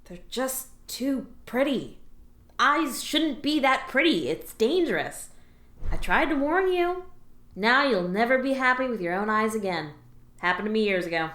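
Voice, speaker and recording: A woman voicing a seasoned alien coworker who is brisk, matter-of-fact and teasing toward a rookie. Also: fairly high pitch, sing-song, fairly bright tone. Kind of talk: audio drama acting. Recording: roomy echo.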